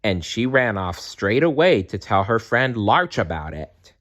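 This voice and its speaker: A man reads a children's storybook aloud at a very slow, unhurried pace. His slightly low voice moves up and down in an animated way. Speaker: storybook reader.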